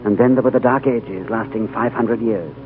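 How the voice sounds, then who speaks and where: An actor playing an earnest, thoughtful diplomat who also narrates, in a 1930s radio drama on an old broadcast recording. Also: male voice, lowish voice, moderate pace, a little hiss or hum, lightly acted. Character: thoughtful male British diplomat narrating his tale. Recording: old phone-like radio broadcast, faint music.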